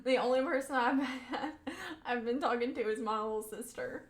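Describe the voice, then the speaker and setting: A young woman with a Southern lilt and a fairly high voice chats loosely with her live viewers. She giggles between words, and the sound carries the echo of the room she is in. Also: normal speed. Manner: chatty and giggly, often laughing mid-sentence. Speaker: live streamer. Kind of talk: casual chat with a live audience.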